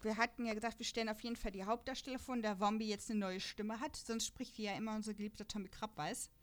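A woman's voice: mid-range voice, steady tone, fast talker. A woman hosting a casual podcast chat about radio dramas, chatty and laughing often as she talks. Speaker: podcast host.

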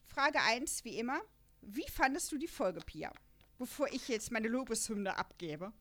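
A young woman hosting a casual podcast, chatting in a lively way and laughing often. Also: on the high side, a little slow. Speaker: podcast host. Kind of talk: casual chat.

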